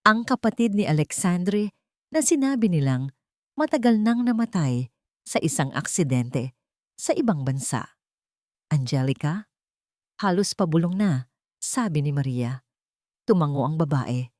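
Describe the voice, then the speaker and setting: Low, mellow Filipino woman storyteller. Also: lively intonation, measured pace, long pauses, no echo, studio quality. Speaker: narrator. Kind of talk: scripted dramatic story narration.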